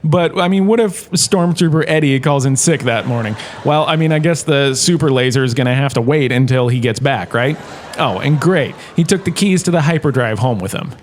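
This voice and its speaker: A man playing a jaunty, opinionated history professor in a comedic audio drama lecture, chatty and theatrical, with emphatic pauses. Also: mid-range voice, animated, a little hiss or hum, close to an everyday voice. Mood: amused, mocking. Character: washed-up actor turned jaunty, opinionated male history professor.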